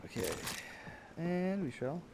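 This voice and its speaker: A man's voice: sits high, expressive, slow pace, gravelly, heavy background noise. A man giving casual, jokey and sometimes sweary commentary while playing a video game with a friend.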